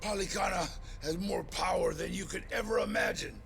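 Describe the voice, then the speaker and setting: An actor playing a defiant, sneering crime boss in a video game cutscene, taunting, profane and theatrical. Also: male voice, high voice, wildly swooping pitch, brisk, vocal fry, background noise, full character voice. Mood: bitter, ominous. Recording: music behind the voice.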